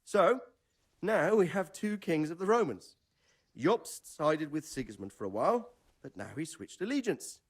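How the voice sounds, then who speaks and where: An actor playing a knowledgeable older medieval lord in a video game, explaining things in a measured way. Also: male voice, fairly high pitch, sing-song. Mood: measured, explanatory. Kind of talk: video game dramatic dialogue.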